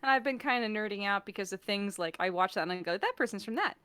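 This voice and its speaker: A woman chatting casually and geekily about sci-fi shows, talkative and animated, as a guest on a stream. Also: mid-range voice, quick pace, clear, resonant tone, dry recording. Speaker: guest on a fan talk stream.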